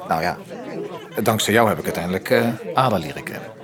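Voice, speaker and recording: An actor playing an opinionated, jesting politician with a declamatory, mock-solemn manner in a Dutch radio drama. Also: male voice, sits low, lively intonation, brisk, a crowd in the background. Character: Onno, opinionated, pompous, jesting social-democrat politician and husband.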